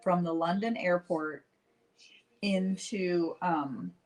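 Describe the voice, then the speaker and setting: A middle-aged woman chatting casually to livestream viewers as she tells a personal story, acting out bits of dialogue in an animated, informal way. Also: on the low side, slow pace, poor audio. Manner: chatty, animated retelling with acted-out dialogue and frequent "like"; addresses viewers directly. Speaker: host painting live while telling stories.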